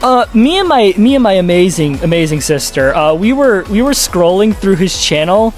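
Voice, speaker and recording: Casting: exasperated, sarcastic YouTube commentator, casual and sweary, very lively intonation. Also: mid-range voice, moderate pace, soft background music. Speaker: YouTube video essayist. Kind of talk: casual commentary with scripted narration.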